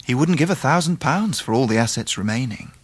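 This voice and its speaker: An actor playing an earnest, polite young Victorian English gentleman in a radio drama. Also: mid-range voice, expressive, brisk, breathy edge, no echo. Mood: troubled, reflective. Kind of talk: radio drama narration and dialogue.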